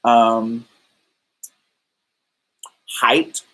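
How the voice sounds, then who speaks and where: A woman's voice: a bass voice, steady tone, drawn-out words, a bit bright, low-quality recording. A woman hosting a podcast, explaining ideas in a loose, thoughtful way, with many fillers and restarts while talking to listeners. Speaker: podcast host. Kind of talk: casual livestream podcast talk.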